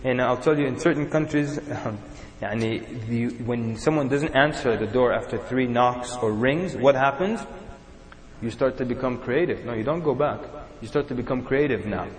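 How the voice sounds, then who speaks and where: A man's voice: medium pitch, moderate pace. A man from Sudan, preaching a sermon to a live audience and acting out little examples as he goes. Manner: animated, acts out examples in little voices. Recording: echoey hall with long reverb.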